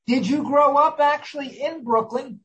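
A man's voice: extremely high pitch, moderate pace, a bit bright. A man hosting a talk show, interviewing a guest in an earnest, formal, scripted-sounding manner. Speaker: host.

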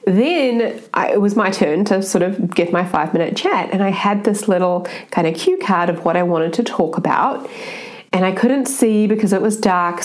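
Woman with a stuffy, blocked-up voice, mid-pitched, giving a sincere motivational talk. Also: darkish tone. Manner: earnest, keeps adding "right?". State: congested, blocked-up nose. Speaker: self-help speaker.

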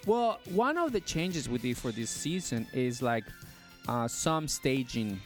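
A man's voice: mid-range voice, sing-song, a little slow, soft background music. A man from Chile co-hosting a live show with casual, playful, teasing banter. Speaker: co-host of a live-streamed show.

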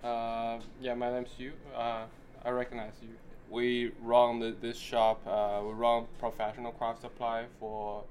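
A man with a lowish, very flat voice introduces his shop to visitors. He speaks haltingly, with frequent pauses as he gathers his words. Faint room sound behind him. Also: a little hiss or hum. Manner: hesitant, many "uh" pauses. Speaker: craft shop owner. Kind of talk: casual introduction to a group.

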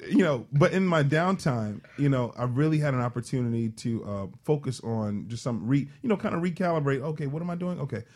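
An American man co-hosting a radio show, chatting casually and telling stories in an animated, joking way. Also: medium pitch, a little fast, few pauses. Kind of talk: casual chat.

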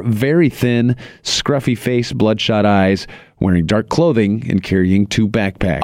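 A man's voice: on the low side, no echo, studio quality. A man with an American accent reading scripted radio news as an anchor and reporter.

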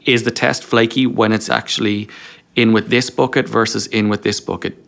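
Low-voiced man explaining in conversation. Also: fairly even intonation, moderate pace, vocal fry, fairly bright tone. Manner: explains through self-posed questions and quoted inner dialogue. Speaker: podcast guest, engineering leader. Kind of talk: conversational interview talk.

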